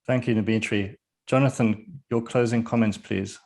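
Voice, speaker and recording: A man's voice: lowish voice, fairly even intonation, unhurried, darkish tone. A man acting as moderator, informally handing over to panel guests.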